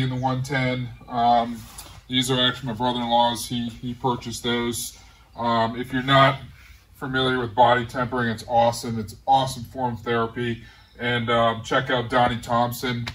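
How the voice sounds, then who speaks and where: A middle-aged man casually showing off his garage gym, talking in a relaxed, rambling way with many pauses. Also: mid-range voice, distant mic, a little hiss or hum, poor audio. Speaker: home gym owner giving a tour. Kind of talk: casual walk-through tour. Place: large garage home gym.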